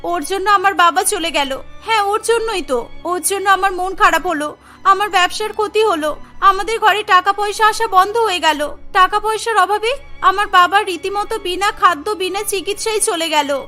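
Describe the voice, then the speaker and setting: A woman's voice: extremely high pitch, normal speed, pushed and bright, soft background music. A woman voicing a bitter, grieving young female food seller in a cartoon, speaking in a reproachful, emphatic way. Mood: bitter grief.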